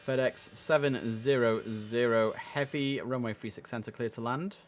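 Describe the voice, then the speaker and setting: A man's voice: mid-range voice, steady tone, normal speed. A man live streaming as an air traffic controller, mixing chatty muttered asides with clipped, formal radio-style instructions.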